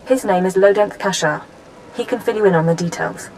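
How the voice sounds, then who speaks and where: Actress as a brisk female intelligence analyst, noisy ambience. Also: medium pitch, a little mellow, natural voice. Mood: matter-of-fact. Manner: crisp, businesslike briefing. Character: brisk, composed female Imperial intelligence analyst, genetically engineered genius. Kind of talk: scripted video game dialogue.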